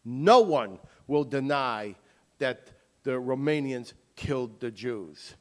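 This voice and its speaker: A man's voice: mid-range voice, expressive, slow pace. A man giving an emphatic lecture as an author and taking audience questions. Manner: emphatic, forceful.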